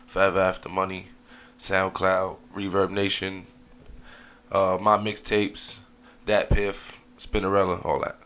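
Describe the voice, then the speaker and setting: Laid-back low-voiced New man. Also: monotone, unhurried, fairly bright tone, smooth. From Brooklyn, New York. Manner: laid-back slangy talk, hesitant with fillers. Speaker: rapper guest. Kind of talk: casual radio interview.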